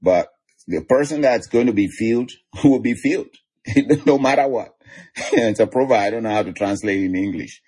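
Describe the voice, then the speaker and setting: A man with a Nigerian accent preaching an online sermon, laughing softly between lines and repeating declarations. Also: on the low side, normal speed, breathy edge. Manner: chuckles often, repeats declarations.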